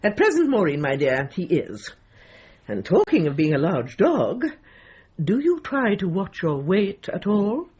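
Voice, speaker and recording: A woman's voice: on the low side, wildly swooping pitch, a little slow, darkish tone, husky, highs cut off, full character voice. Bossy, swooping British older woman dog trainer. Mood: brisk, patronising. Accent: British English. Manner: imperious, emphatic, patronising, old-fashioned politeness. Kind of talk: radio comedy drama acting.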